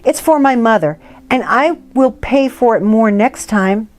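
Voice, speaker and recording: A woman's voice: on the high side, lively intonation, measured pace, darkish tone. A woman voicing an earnest little girl devoted to her mother in a storybook read-aloud. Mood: pleading. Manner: earnest, pleading.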